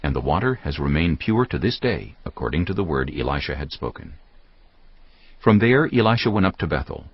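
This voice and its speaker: A man narrating scripture as a scripted drama, solemn and measured. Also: a bass voice, very animated, breathy edge. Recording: dull lo-fi sound, music underneath. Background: faint music.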